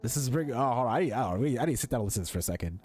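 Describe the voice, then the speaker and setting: A man's voice: medium pitch, expressive, rapid-fire, darkish tone. A man giving casual let's play commentary in a jokey, rambling, sweary way. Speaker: game streamer.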